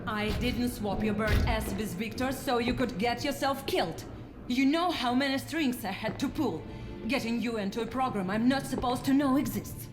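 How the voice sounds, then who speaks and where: A woman's voice: a little high, normal speed, echoey room, close to an everyday voice. An actress playing a determined, secretive female security chief in a video game cutscene, commanding and matter-of-fact. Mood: exasperated. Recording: music playing behind the voice.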